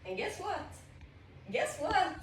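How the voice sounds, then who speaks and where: A woman's voice: very high-pitched, big pitch swings, takes it slowly. A woman chatting with a friend in a teasing, hesitant way. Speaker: vlogger's friend. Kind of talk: casual chat. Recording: distant echoey room with noise.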